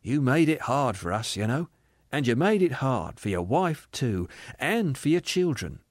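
A man voicing a joyful, forgiving young spirit in a dramatised audiobook, gentle and amused as he coaxes. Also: mid-range voice, sing-song, unhurried, dry recording, studio quality. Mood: candid, serene. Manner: gentle, amused, lightly coaxing. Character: joyful, forgiving young male heavenly spirit, once a murderer.

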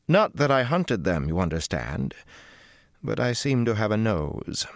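A man voicing an older woman sorceress who speaks slowly, in a measured and unshakably composed way. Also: lowish voice, sing-song, no room sound, clean studio sound, pitched above the performer's own voice. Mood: calm.

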